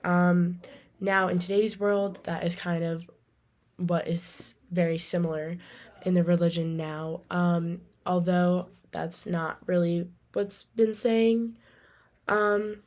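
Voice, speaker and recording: Mellow, flat-toned woman presenting haltingly. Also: lowish voice. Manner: halting, with frequent ums and pauses. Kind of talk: semi-scripted informational presentation.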